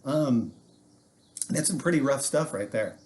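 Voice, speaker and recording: An American man, a teacher, tells a history story to students in an animated, casual way with jokey asides. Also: medium pitch, a little slow, slight background noise.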